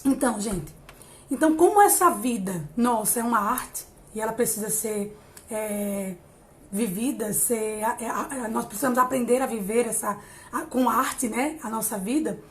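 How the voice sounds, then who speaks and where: Hesitant, fairly high-voiced woman in her thirties. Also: normal speed. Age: 30s. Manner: warm, encouraging, many hesitations and restarts. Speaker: psychologist hosting a live stream. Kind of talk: casual live-stream talk on emotional growth.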